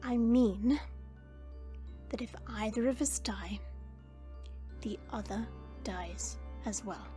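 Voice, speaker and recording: Woman voicing a high, solemn dragon queen, music behind. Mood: grave resolve. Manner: measured, formal, deliberate phrasing. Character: regal female dragon queen, solemn, earnest and resolute. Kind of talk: scripted audio roleplay acting with first-person narration. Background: background music.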